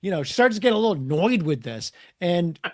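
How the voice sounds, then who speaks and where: Middle-aged male author, interview guest. Slightly high voice, casual and animated storyteller who acts out conversations. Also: fairly quick. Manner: animated storyteller, acting out dialogue. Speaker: guest, comics and children's book author.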